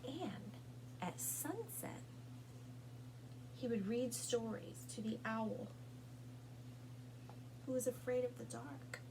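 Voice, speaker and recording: A woman reads a picture book to young children in a slightly high voice. Her pace is slow and deliberate, with expressive phrasing and pauses. A faint hum sits behind her. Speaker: storytime reader at a public library.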